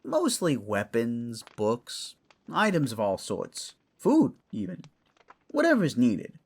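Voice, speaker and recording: A man acts out a clawed, furry fantasy steward with a very animated, mid-pitched voice that rises and falls freely. He is a theatrical, scholarly tinkerer, given to grumbling and long explanations. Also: takes it slowly, breathy edge, heavily acted. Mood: explanatory. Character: furred, clawed male creature, a roguish scholarly artifact-tinkering steward. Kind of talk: fantasy audio drama acting.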